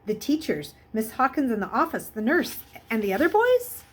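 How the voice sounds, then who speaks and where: A woman's voice: on the high side, big pitch swings, normal speed, husky, voice pushed up. A woman voicing an eager, uneasy teenage boy in a storybook, hesitant and full of questions. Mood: astonished. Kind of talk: children's novel read aloud.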